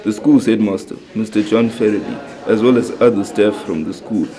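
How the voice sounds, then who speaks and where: A man's voice: on the low side, steady tone, fairly quick, crowd noise, low-quality recording. A man delivering scripted narration for a school newsreel.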